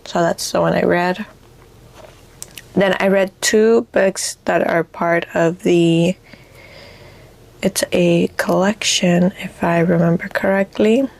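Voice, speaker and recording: Slow-talking woman with a raspy voice. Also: fairly low pitch, steady tone, a little mellow. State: congested from allergies. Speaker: book vlogger. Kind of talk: casual book chat.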